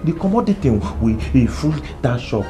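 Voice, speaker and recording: A woman plays an anxious shopkeeper who speaks broken English. The voice sits low but swings wildly up and down as the shopkeeper pleads and says the same words again and again. Music runs under the scene. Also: very animated, moderate pace. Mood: pleading.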